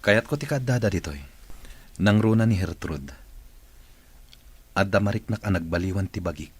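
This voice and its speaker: A man as a deep, husky Ilocano boss. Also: moderate pace. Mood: hopeful. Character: ailing male company boss, firm yet hopeful. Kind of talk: radio-style drama dialogue.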